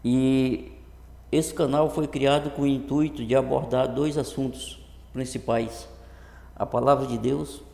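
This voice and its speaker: Gravelly middle-aged northern Brazilian man, echoey room. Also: mid-range voice, steady tone, a little mellow. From Brazil, Rondônia. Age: early 50s. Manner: halting, often repeats and restarts words. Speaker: vlogger introducing his channel. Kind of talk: casual talk to camera. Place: improvised untreated home room. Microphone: computer webcam setup. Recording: echoey improvised room, strong reverb.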